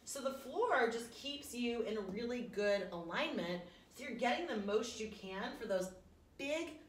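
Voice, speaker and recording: A chatty, encouraging woman in her thirties leading a casual stretching workout as a fitness instructor, recorded at home in a living room. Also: on the high side. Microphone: camera a few meters away. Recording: roomy, distant mic, faint room noise.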